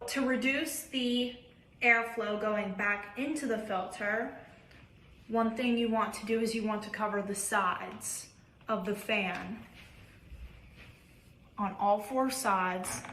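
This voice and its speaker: A woman calmly explaining, step by step, how to build a home air filter. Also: mid-range voice, a little hiss or hum. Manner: calm, methodical instructions. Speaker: presenter demonstrating a DIY air filter. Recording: echoey room.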